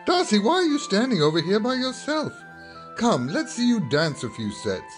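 A man playing an eager, amiable young gentleman in a radio drama. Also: high-pitched, moderate pace, soft background music. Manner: eager, animated. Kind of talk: old-fashioned radio drama acting.

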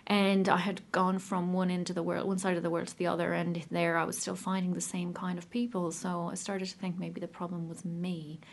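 Irish woman, thirties, gravelly, lowish voice, halting casual share. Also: level tone. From Ireland. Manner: hesitant, many ums and restarts. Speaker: guest speaker sharing her story. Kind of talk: personal recovery share, casual.

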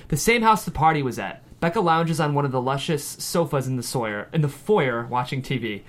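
A man's voice: mid-range voice, lively intonation, brisk, a bit bright. A man reads scene headings and action lines for a screenplay table read. His voice is mid-range, delivering scripted narration. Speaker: stage directions narrator.

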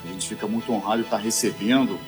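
A man, a naval college commander answering interview questions formally, padding his pauses with a constant "né". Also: lowish voice, fairly even intonation, over music. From Niterói, Brazil.

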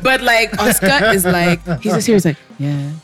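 A woman's voice: medium pitch, lively intonation, normal speed, fairly bright tone, faint music behind. A woman co-hosting a podcast, chatting casually in a playful, teasing, giggly manner. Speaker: podcast co-host. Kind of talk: casual chat.

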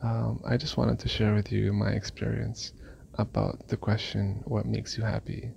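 A man raised in Malaysia recording a calm, reflective voice memo on his phone. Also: fairly low pitch, normal speed, gravelly, slight background noise. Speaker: listener sending a voice memo. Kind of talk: casual voice memo.

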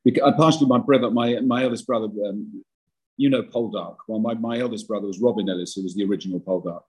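Older male actor, interview guest. Big voice, slightly husky, low-set, rambling and self-deprecating. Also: fast talker, rough recording. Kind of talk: podcast interview.

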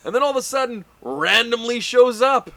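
Bright-voiced man recapping casually. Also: extremely high pitch, animated, moderate pace, gravelly, dry recording. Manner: rambling recaps with filler words. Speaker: podcast co-host. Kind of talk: casual wrestling recap chat.

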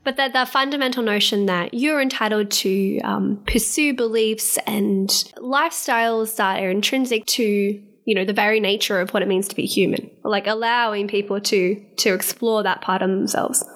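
A woman interviewing a guest, warm and affirming, asking long framing questions. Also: medium pitch, normal speed, few pauses. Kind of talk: conversational interview.

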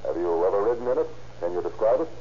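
A man's voice: fairly low pitch, expressive, racing, close to an everyday voice. Man as 1930s radio detective: businesslike, probing, clipped delivery, muffled recording with light noise. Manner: clipped, methodical questioning. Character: calm, probing male police investigator, businesslike. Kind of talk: 1930s radio crime drama acting. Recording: dull, noisy old radio recording.

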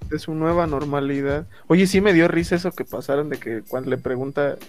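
A man's voice: on the high side, brisk, darkish tone, faint music behind. A man with a Mexican Spanish accent, hosting a casual, joking chat with friends and laughing often. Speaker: podcast host. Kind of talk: casual banter among friends.

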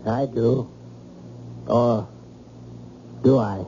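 A man's voice: mid-range voice, steady tone, mellow. An actor playing a controlled, probing man who takes charge, in an old-time radio drama. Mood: grave, deliberate. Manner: deliberate, controlled, authoritative. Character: calm, probing man taking charge of a crisis. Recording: muffled, hissy vintage radio broadcast.

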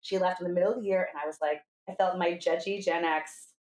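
A woman's voice: fairly low pitch, fast talker. Candid American woman in a roomy echo. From New Hampshire, USA. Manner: animated, candid, acts out quoted dialogue, swears casually. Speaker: podcast guest, coach. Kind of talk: casual conversational storytelling. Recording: roomy, echoing sound.

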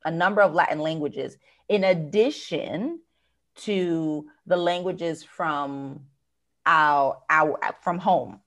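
A woman's voice: sits low, takes it slowly, fairly bright tone. An American woman business owner talking through her work in an interview, thoughtful, with many fillers and restarts. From United States. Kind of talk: conversational interview.

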